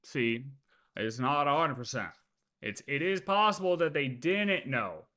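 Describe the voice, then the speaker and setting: A man's voice: mid-range voice, expressive, a little slow, bright, forceful tone, soft background music. A man doing casual live commentary as a streamer, arguing emphatically and repeating his points. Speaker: livestream host reacting to game lore.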